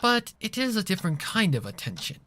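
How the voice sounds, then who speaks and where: A refined, courteous lady pony, acted by a man reading a story. The voice sits fairly high and explains in careful, formal phrases with composed patience. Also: wildly swooping pitch, a little fast, pushed and bright, full character voice. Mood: grave, explaining.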